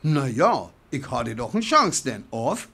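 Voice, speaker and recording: A man playing a zealous, grandiose survivor scheming to seize war machines in a radio play, with a North Frisian accent, swinging from hushed to exclaiming. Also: mid-range voice, very animated, slow, gravelly, breathy edge, exaggerated voice. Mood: scheming, persuasive.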